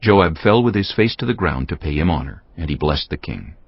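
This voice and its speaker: A man narrating scripture as a solemn, measured storyteller in a dramatized audio production. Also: extremely low pitch, animated, creaky, husky, dry recording, dull audio.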